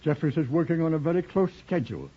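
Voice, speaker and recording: An American actor playing a formal, anxious, injured college dean in 1940s radio style. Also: male voice, fairly high pitch, lively intonation, fast talker, breathy edge. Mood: anxious, insistent. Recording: muffled old radio recording.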